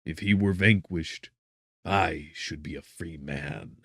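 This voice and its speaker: A man voicing a tormented, doomed young gentleman, brooding and theatrical, in a gothic audiobook narration. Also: a bass voice, breathy edge, no room sound, studio quality, voice pushed down, full character voice. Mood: grim resolve. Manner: brooding, theatrical, deliberate emphasis.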